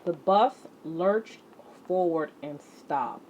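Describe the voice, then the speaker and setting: A woman leads a lesson for children at a very unhurried pace. She addresses her students directly, with deliberate emphasis on her instructions. The audio is dull, with noticeable noise behind her. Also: on the low side. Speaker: teacher and exercise leader. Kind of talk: instructional lesson to children, read-along with warm-up exercises. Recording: muffled audio with clear background noise.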